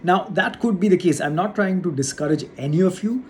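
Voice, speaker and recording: A YouTuber from India talking earnestly and casually to his audience, with a measured pace and frequent "uh" fillers. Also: high-pitched, expressive, darkish tone, a little hiss or hum. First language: not Hindi; English not first noted. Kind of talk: casual talk to camera. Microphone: studio setup.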